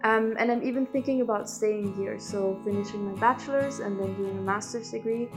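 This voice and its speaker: A woman's voice: medium pitch, a little fast, music in the background. A young woman from Italy, a native speaker of Italian and German, reflecting in a documentary interview as an international student. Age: young adult.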